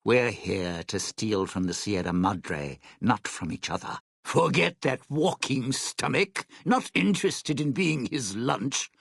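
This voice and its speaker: An actor voicing a scheming, bitter, sardonic crook in a video game, with a sly, theatrical delivery. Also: male voice, mid-range voice, expressive, takes it slowly, creaky, few pauses, a bit bright, husky, dry recording, clean studio sound, exaggerated voice. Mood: suspicious, irritated. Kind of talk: video game character dialogue.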